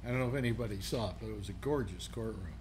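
A man's voice: fairly low pitch, racing, a little mellow. An older man hosting a casual panel chat over a video call, musing aloud and restarting his sentences. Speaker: host. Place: home room on video call. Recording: faint background noise.

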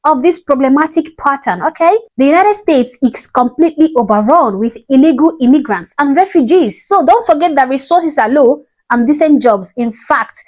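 Woman, young, vlogger, emphatic, preachy, slightly high voice, lapel mic, bedroom. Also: animated, moderate pace, few pauses, a little mellow. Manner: emphatic, preachy, often tags "okay?". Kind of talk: opinion commentary to camera. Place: bedroom indoors.

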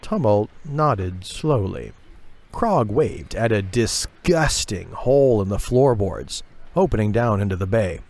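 A man's voice: on the low side, lively intonation, slow pace, darkish tone. A man narrating a scripted fantasy audio drama in a dramatic storytelling style. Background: music.

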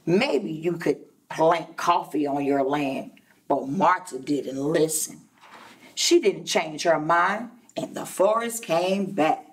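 A woman teacher reading a children's storybook aloud expressively, addressing young listeners in a deliberate way. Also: low-pitched, distant mic. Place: indoor classroom.

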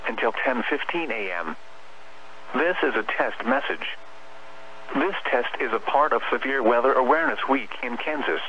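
A man reading a scripted emergency alert as a weather radio announcer, formal, measured and impersonal, over the radio. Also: medium pitch, big pitch swings, muffled recording. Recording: noisy weather radio broadcast.

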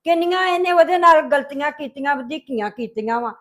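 A woman's voice: high voice, animated, brisk, a bit bright. A woman acting a worried village mother who scolds her daughter-in-law and pleads with her son. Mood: complaining. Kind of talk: family drama acting.

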